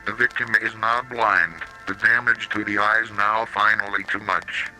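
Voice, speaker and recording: Bright robotic male text-to-speech narrator. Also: on the low side, rough recording. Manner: flat, mechanical, odd pronunciations. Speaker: narrator of a warning tape. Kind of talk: scripted analog horror public-safety narration. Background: slow music.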